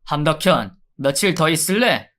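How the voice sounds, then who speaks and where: A man's voice: a little high, animated, normal speed, a bit bright. A man voicing a stern male prison guard who gives curt, cold orders.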